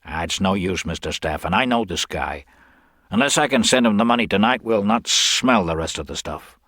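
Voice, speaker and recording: A man's voice: low-pitched, animated, a bit bright, no echo, clean studio sound. A man voicing a brash, slick con man who talks in slangy, pushy bursts in a storybook reading. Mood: slick, persuasive. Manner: slangy, fast-talking, pushy.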